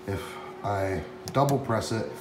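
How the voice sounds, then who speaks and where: A man in his thirties walking through his print shop, chatting excitedly as he explains a new machine to his viewers. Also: lowish voice, measured pace, background noise. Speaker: print shop owner and vlogger. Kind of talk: casual walkthrough vlog. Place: large echoey print shop room with concrete floor. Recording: echoey room with music over it. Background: background music.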